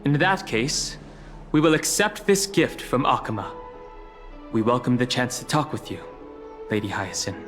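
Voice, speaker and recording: A man playing a calm, guarded and courteous young traveler in a video game, speaking politely and with reserve. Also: mid-range voice, normal speed, long pauses, faint music behind. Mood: reserved, curious.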